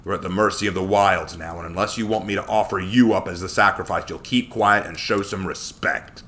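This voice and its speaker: A stern man leading others through dangerous wilds in a fantasy audiobook, acted by the narrator. He speaks with curt command and a forceful, bright edge, sounding serious and in charge. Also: sits low, steady tone, fairly quick. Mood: stern warning. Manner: curt, snarling orders. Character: stern, commanding male wilderness guide, curt and reverent.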